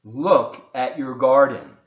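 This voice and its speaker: A man voicing a calm, helpful frog from a children's storybook, measured, emphatic and reassuring. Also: mid-range voice, echoey room, narrow, tinny audio, lightly acted. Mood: urging.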